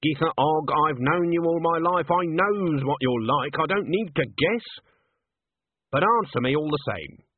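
A man's voice: fairly high pitch, animated, measured pace, breathy edge, dry recording, phone-quality sound, voice pushed up. A man voicing a shrewd, stern old witch woman in a storybook, speaking bluntly with rustic grammar.

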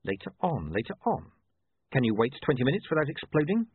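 A man voicing a calm, scheming criminal who talks deliberately and condescendingly in an audiobook. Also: medium pitch, breathy edge, no echo, narrow, tinny audio, pitched above the performer's own voice. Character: smooth-talking, scheming male criminal, calm and patient.

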